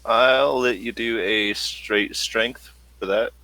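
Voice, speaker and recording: A man's voice: medium pitch, steady tone, slow pace, vocal fry, pushed and bright. A man acting as game master, narrating and refereeing a tabletop role-playing game.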